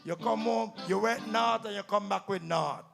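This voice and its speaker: A man's voice: high voice, moderate pace, creaky, music in the background. A man with a Kittitian accent hosting a live stage show as emcee, teasing audience members directly with playful banter. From St Kitts. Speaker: calypso tent emcee and comedian. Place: live calypso tent stage before an audience.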